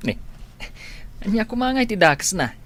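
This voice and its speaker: A man playing a comic, excitable drinking buddy who gives advice in an Ilocano comedy radio drama, with a Filipino accent and exaggerated delivery. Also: high voice, normal speed. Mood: teasing, encouraging. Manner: exaggerated, swooping.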